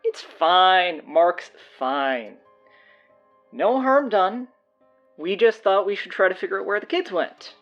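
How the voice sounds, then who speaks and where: Earnest low-voiced student played by a woman. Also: expressive, slow, highs cut off, soft background music. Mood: flustered, evasive. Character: earnest, defensive, grandiose high school student. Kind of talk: audio drama dialogue.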